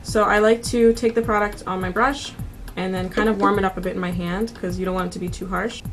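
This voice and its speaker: A woman's voice: medium pitch, fairly even intonation, a little fast. A woman in her twenties narrating a makeup tutorial in a casual, informal, conversational way as a beauty vlogger. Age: 20s. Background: background music.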